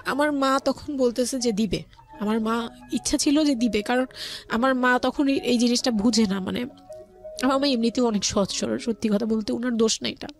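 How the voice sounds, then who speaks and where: A woman's voice: fairly high pitch, normal speed. Bangladeshi woman storytelling over music. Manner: quotes relatives' words as she narrates. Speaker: guest telling life story. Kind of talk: personal storytelling in conversation.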